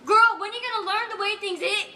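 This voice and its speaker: In an echoey, noisy room, a woman plays a stern, weary country mother on stage. Her voice is high, and her talk is folksy, impatient and scolding. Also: fairly even intonation, fast talker, pushed and bright, exaggerated voice. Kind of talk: school stage play acting.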